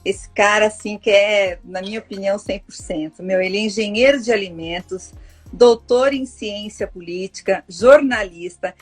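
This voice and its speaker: A woman hosts an interview, chatty and effusive, with plenty of casual fillers in her speech. Her voice has a slightly husky edge, and soft music plays behind her. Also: medium pitch. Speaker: interview host.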